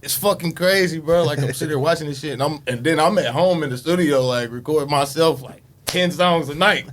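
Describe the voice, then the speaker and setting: A man's voice: on the high side, fairly quick, few pauses, fairly bright tone, slight background noise. A man in his late twenties, a rapper guest on a podcast, talking casually in slang with lots of swearing and "you know what I'm saying". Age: late 20s.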